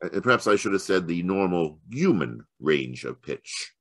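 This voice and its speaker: Low, swooping radio detective, acted by a man. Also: very animated, fairly bright tone, no room sound, clean studio sound, heavily acted. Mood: deliberate, authoritative. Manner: halting, stammering, then deliberate and formal. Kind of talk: old-time radio mystery acting. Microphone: home microphone on a video call.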